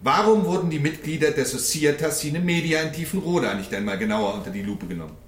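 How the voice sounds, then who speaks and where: An actor playing an eloquent, combative defence lawyer with a formal, rhetorical, needling manner in a radio courtroom drama. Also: male voice, a little high, animated, quick pace, close to an everyday voice. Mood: accusing. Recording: echoing courtroom, faint background noise.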